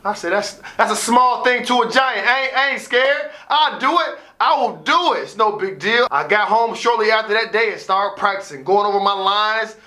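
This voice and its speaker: Bright, high-voiced American man telling stories. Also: normal speed, hardly any pauses. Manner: theatrical, emphatic repetition. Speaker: motivational storyteller and student athlete advisor. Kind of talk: animated personal storytelling with acted-out dialogue and pep talk.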